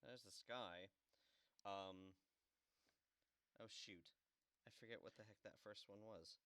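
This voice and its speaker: A man's voice: on the low side, fairly even intonation, slow, pushed and bright, no room sound. A man giving casual, chatty commentary while he plays a game. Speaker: game player and co-host. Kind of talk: casual let's play commentary.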